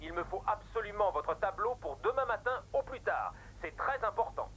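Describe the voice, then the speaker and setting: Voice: medium pitch, very animated, moderate pace, fairly bright tone, a little hiss or hum, low-quality recording. An actor voicing an insistent, businesslike male client over the telephone in a French cartoon. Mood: pressing. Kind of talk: French animated series dubbing.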